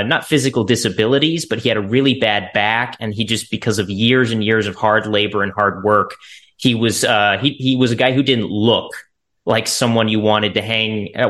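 A man's voice: lowish voice, a bit bright. A man, an actor, answering conversationally as an interview guest.

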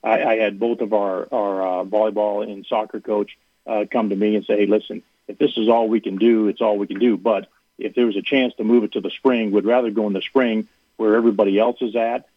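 A gravelly, husky man comes through a tinny phone line. He speaks as a university athletic director in an earnest way, often restarting his sentences. The sound is dry, with no room around it. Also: lowish voice, no room sound. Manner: earnest, repeats words when starting sentences. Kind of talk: radio call-in interview.

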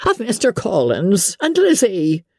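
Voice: mid-range voice, huge pitch swings, measured pace, darkish tone, no echo, clean studio sound, voice pushed up, heavily acted. A reader voicing a flustered, fretful middle-aged mother in an agitated, emphatic way, as in an audiobook. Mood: frantic, agitated.

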